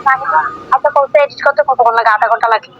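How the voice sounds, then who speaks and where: A woman's voice: sits high, fairly even intonation, very fast. A woman with an eastern Bengali dialect complaining in a casual domestic argument on a recorded call, repeating phrases dismissively. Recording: phone call recording with faint noise.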